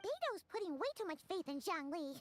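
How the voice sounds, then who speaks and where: A woman voicing a tiny, excitable, childlike fairy companion in a video game, indignant and referring to herself by name. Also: very high-pitched, a little fast, slight background noise. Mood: skeptical. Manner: exclaims indignantly, speaks of herself in third person. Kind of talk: animated video game acting.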